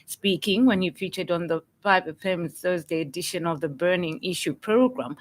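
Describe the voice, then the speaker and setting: A woman with a Zambian accent reading a scripted radio news bulletin in a formal, measured manner. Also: fairly low pitch. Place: radio studio.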